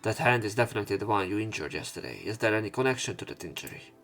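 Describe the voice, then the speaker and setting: A man with a fairly low voice narrates a visual novel as a let's player. He reads dialogue expressively and comments in a casual, blunt way. Soft game music plays behind him. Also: rapid-fire. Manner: sweary reactions, expressive dialogue reading.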